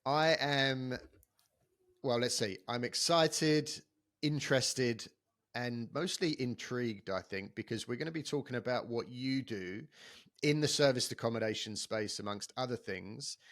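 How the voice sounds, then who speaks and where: A man's voice: mid-range voice, unhurried, fairly bright tone, dry recording, studio quality. A man hosting a podcast, chatty and enthusiastic, talking casually with many restarts. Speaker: podcast host.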